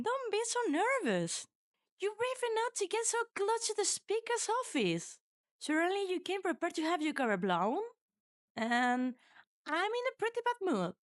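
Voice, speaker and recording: A woman's voice: very high voice, wildly swooping pitch, unhurried, no room sound, clean studio sound, heavily acted. A woman playing a brash, cocky, menacing young female mercenary in an amateur game fan dub, with a sardonic, teasing manner. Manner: sardonic, foul-mouthed, teasing.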